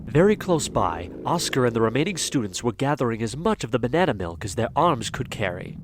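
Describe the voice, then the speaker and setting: A man's voice: medium pitch, sing-song, a little fast, a little hiss or hum. A man narrating an audio drama in a dramatic, scripted storytelling style.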